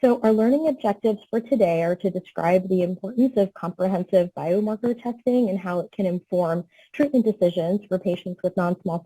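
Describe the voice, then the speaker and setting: Dark-toned woman giving a medical lecture. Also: mid-range voice, no echo, rough recording. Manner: clear explanatory tone, asks for next slide. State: slightly losing her voice.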